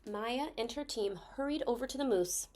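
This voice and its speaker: A woman reading a children's story aloud expressively, stressing key words with deliberate phrasing. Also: a little high, a little mellow, a little hiss or hum.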